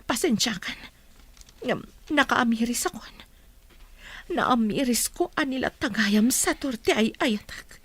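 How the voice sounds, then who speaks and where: A woman's voice: fairly high pitch, expressive, a little slow, pauses often, slightly rough. A woman playing an aggrieved, emotional village mother in a radio drama, speaking emphatically. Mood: firm, hurt. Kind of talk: radio drama acting in Ilocano.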